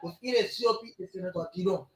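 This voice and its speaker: Man, high voice, insistent debater, echoey room, off-mic. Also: very fast, fairly bright tone. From Liberia. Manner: insistent, repeats himself to hold the floor. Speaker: studio guest, party executive. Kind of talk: heated political debate.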